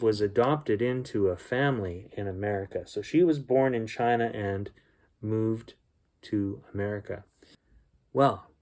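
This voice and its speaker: A man hosting a children's bedtime story, reading aloud and chatting casually to young listeners in a gentle, homely way. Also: fairly low pitch.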